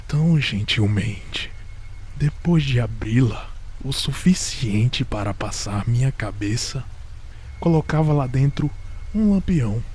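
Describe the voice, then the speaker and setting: A man with a Brazilian accent playing a nervous, obsessive madman in a gothic horror audio drama, intense and deliberate, often dropping toward a whisper. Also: mid-range voice, darkish tone, slight background noise. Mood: sly. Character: nervous, obsessive madman confessing murder, insisting he is sane.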